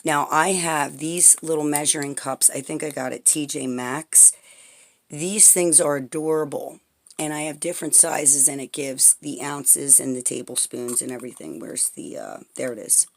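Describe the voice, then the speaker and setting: Raspy lady rambling thru a homemade salve how-to at her kitchen table, keeps asking okay?. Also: deep voice, moderate pace. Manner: chatty, rambling, frequent "okay?" check-ins. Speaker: herbal salve maker demonstrating a recipe. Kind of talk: casual how-to tutorial. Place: home, indoors at a tabletop. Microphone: camera pointed at the table, speaker off-frame.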